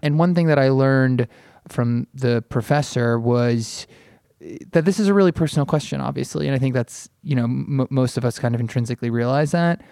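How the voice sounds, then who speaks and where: A man's voice: medium pitch, fairly quick, vocal fry, darkish tone, clean tone, dry recording, clean studio sound. A man hosting a podcast in a casual, opinionated way, discussing art informally. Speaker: podcast host.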